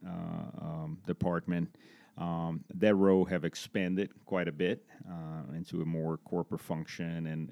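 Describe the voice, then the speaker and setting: A man's voice: low-pitched, vocal fry, darkish tone, dry recording. A man in his forties from Brazil with a Brazilian accent, a native Portuguese speaker, answering interview questions conversationally as a guest. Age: 40s. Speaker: interview guest, corporate safety executive.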